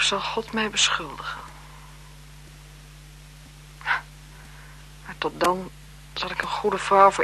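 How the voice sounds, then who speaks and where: An actress playing an anxious, guilt-ridden French marquise in a radio drama, speaking formally in halting phrases. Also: female voice, on the low side, pushed and bright, rough recording. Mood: remorseful, subdued. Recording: 1970s radio recording with noise.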